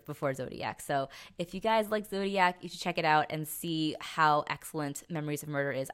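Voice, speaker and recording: Young woman, slightly low voice, excited casual podcast chat, co-host. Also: clear, resonant tone. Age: young adult. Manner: enthusiastic.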